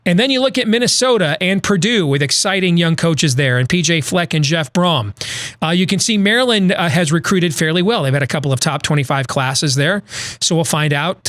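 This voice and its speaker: A man's voice: fairly high pitch, a bit bright, no room sound. A man hosting a podcast, giving a conversational college football analysis with frequent restarts and self-corrections. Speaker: podcast host.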